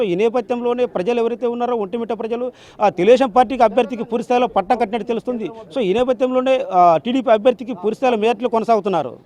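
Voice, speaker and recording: Fast, high-pitched male field reporter. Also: a little mellow. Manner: halting, repetitive, wordy. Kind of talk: live election reporting.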